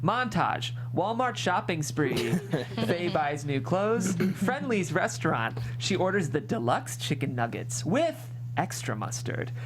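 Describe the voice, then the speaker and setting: A man's voice: a little high, big pitch swings, slow pace. A man reading out stage directions as scripted narration at a table read. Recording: noisy room with hum.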